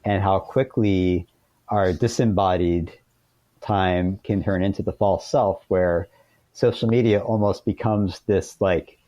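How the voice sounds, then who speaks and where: Man, deep voice, mellow tone, thoughtful explanatory interview talk, dry recording. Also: fairly even intonation, slow pace, no echo, muffled recording. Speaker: podcast guest, author.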